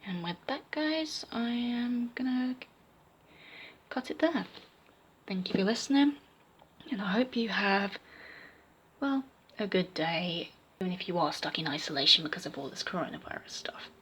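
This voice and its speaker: A young woman giving her opinions in a casual vlog, rambling with fillers and restarts, her voice slightly croaky. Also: mid-range voice, animated, moderate pace, pauses often, a bit bright. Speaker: vlogger.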